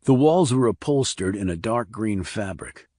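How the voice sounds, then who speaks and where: A man reading a scripted thriller audiobook in a measured, descriptive storytelling manner. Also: lowish voice, expressive, mellow, no room sound, clean studio sound. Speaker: audiobook narrator.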